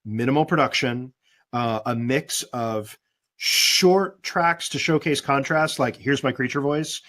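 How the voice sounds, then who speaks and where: A man who is a guest voice actor, speaking in a thoughtful, relaxed way over a video call in a casual webinar chat. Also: mid-range voice, a little slow. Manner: thoughtful, relaxed, with many fillers. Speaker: guest voice actor and demo producer.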